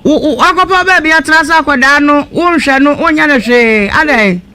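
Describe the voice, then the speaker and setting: Bright, high-pitched Ghanaian radio host. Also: moderate pace. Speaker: radio talk show host. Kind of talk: live call-in mediation talk. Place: radio studio.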